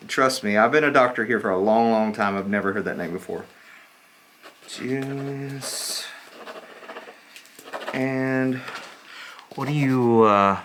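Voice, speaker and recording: A man playing a slightly deranged, scatterbrained doctor in a soft-spoken ASMR roleplay, halting, repetitive and insistent. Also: fairly low pitch. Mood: distracted, insistent. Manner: halting, repetitive, distracted muttering.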